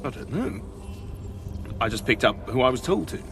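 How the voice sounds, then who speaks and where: An actor playing a shaken but dutiful military starship captain in a science fiction audio drama. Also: male voice, medium pitch, sing-song, normal speed, fairly bright tone, a little hiss or hum. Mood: shaken, defensive.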